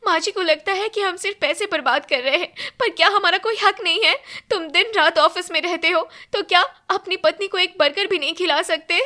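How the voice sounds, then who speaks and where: A woman's voice: very high-pitched, fairly quick, few pauses, sharp tone, pitched above the performer's own voice. A woman voicing an aggrieved young wife in a story, pleading and whining in protest. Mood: tearful protest.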